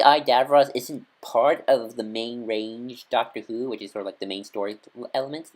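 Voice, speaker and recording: Rambling enthusiastic male fan. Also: medium pitch. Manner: enthusiastic, rambling with many fillers and restarts. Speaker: fan reviewer. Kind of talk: casual fan talk to camera.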